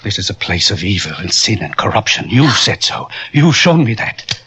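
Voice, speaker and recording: Unhinged grieving man, low, husky, hushed, muffled 1940s radio sound. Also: very animated, normal speed, exaggerated voice. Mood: zealous. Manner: soft, hushed, then zealous. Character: grieving rich older man, gently unhinged, fixated on death. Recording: muffled old radio recording.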